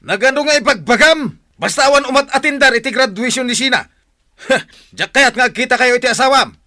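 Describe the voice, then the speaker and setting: Domineering man, very high sharp voice, angry, threatening, radio drama. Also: brisk. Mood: furious. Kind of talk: Ilocano radio drama acting.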